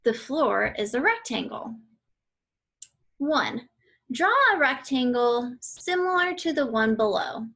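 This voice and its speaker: A woman reading aloud an educational book for children in a deliberate, instructional way. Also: fairly high pitch, expressive, fairly bright tone. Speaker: read-aloud reader for children.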